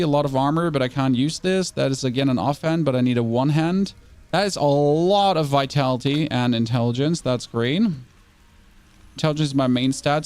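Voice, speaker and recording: A German man chatting excitably and casually while streaming a video game, often stumbling and restarting his words. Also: a little high. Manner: chatty, excitable, self-correcting. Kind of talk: casual gameplay commentary.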